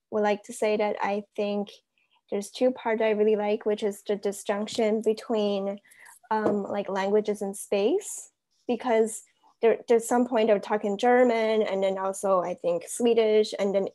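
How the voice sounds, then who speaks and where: A woman's voice: mid-range voice, a little mellow. A woman in her twenties introducing herself casually in an online class, thinking aloud hesitantly on a video call. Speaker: student in an online seminar.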